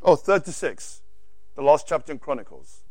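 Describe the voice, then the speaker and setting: A man's voice: on the high side, normal speed, slightly rough, no echo. A man with an accent teaching a sermon to a congregation, reading scripture aloud and correcting himself as he goes. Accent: non-native English. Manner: animated, reads scripture aloud, self-correcting asides. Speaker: preacher.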